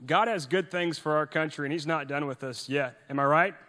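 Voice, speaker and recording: A man's voice: a little high, brisk, sharp tone. A young man born in Norway, giving a rousing, earnest speech to a youth audience at an event, with rhetorical questions and frequent restarts. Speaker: event speaker. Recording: long reverb of a large hall.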